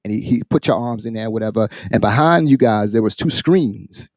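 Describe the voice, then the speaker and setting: A man hosting a casual podcast chat, halting and repeating phrases as he builds up his questions in an animated way. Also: on the low side, no room sound. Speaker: podcast host posing a question.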